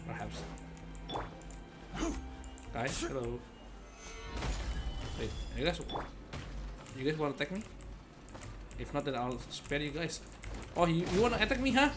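A man's voice: fairly high pitch, very animated, a little slow, long pauses. A young man doing casual, playful gameplay commentary, often muttering and repeating phrases to himself. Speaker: game streamer. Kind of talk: casual let's play commentary. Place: indoor room at a gaming desk. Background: game music, keyboard clicks.

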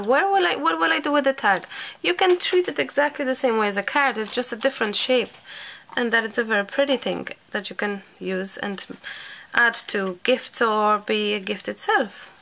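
A woman, slightly hoarse, chatting casually while she works through a crafting tutorial. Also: a little high, pushed and bright, slight background noise. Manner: chatty, thinking aloud, light laughs. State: slightly hoarse from a sore throat. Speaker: craft channel host.

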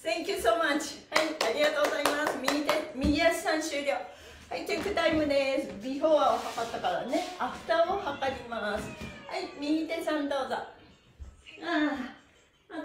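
A woman's voice: sits high, far from the mic. A middle-aged woman leading an exercise lesson, cheerful and playful, calling out instructions and rhythmic chants. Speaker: stretching instructor and host. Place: small indoor room with bare walls. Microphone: camera mic from a few steps away. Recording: echoey room, away from the mic.

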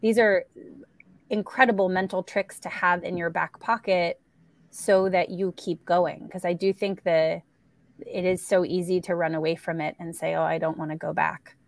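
A woman in her thirties speaks in a slightly low voice, chatting casually as a panel moderator. Her sound is close and free of room echo, picked up on a video call. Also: steady tone, normal speed. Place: indoor room at home. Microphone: earphone mic on a video call.

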